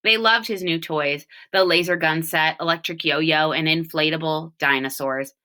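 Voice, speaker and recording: A woman reading a children's story aloud expressively, with deliberate emphasis on key words. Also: sits low, fairly even intonation, sharp tone. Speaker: storybook reader, teacher reading for home learning.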